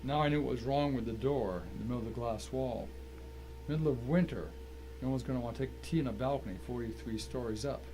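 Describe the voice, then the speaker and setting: A man's voice: mid-range voice, fast talker, warm tone, slight background noise, lightly acted. A man playing an uneasy, cornered tennis pro who narrates his own story in a plain, brooding way. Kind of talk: first-person dramatic story narration.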